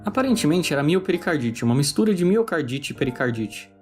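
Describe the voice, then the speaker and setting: Brazilian male narrator, rapid scripted essay delivery, faint music underneath. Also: on the high side, big pitch swings.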